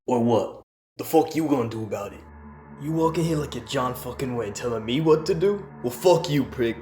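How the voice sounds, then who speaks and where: A man's voice: medium pitch, expressive, moderate pace, mellow, lightly acted. A man playing a hostile, sneering, foul-mouthed thug in a comic dub. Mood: belligerent. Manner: belligerent, sneering. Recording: background music under the voice.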